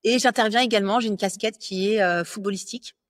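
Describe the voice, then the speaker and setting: A confident French woman in her thirties speaks as an interview guest, explaining her work at a steady medium pitch. She talks fluently but often pauses on fillers and restarts her phrases. The sound is close and dry. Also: no room sound. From France. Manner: fluent, self-assured, many fillers and self-corrections. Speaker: business lawyer guest. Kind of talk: interview conversation.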